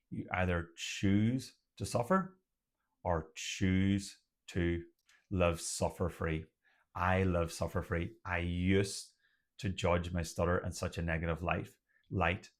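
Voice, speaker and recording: Man, very low voice, occasional stutter; deliberate, emphatic motivational host. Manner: stutters at times, slow deliberate emphatic delivery. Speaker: host of a stuttering support channel. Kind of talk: motivational talk to camera.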